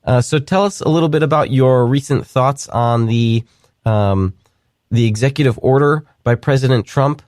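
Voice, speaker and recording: A man's voice: mid-range voice, normal speed, a little mellow, no room sound, studio quality. A man hosting a talk podcast, speaking casually with many fillers and restarts. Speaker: podcast host. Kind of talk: casual talk show commentary.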